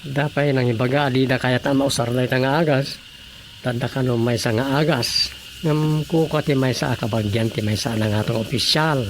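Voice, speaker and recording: A man's voice: medium pitch, fairly even intonation, moderate pace, natural voice. An actor playing a talkative, opinionated man chatting about the news in a radio drama comedy. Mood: explaining. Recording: clear background noise and ambience.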